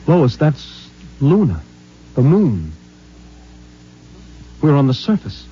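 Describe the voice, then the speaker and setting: A man's voice: medium pitch, huge pitch swings, slow pace, mellow, breathy edge, exaggerated voice. An actor playing a protective, sober young man on the run in a 1950s science fiction radio drama, grave and tender. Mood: hushed, tender. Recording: dull, humming 1950s radio recording.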